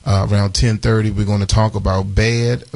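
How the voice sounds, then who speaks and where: A man in his forties with a raspy voice, hosting a live morning radio show and chatting through announcements with lots of fillers and restarts. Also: lowish voice, level tone, moderate pace, darkish tone, no echo. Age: 40s. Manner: chatty, repeats phone numbers, many fillers and restarts.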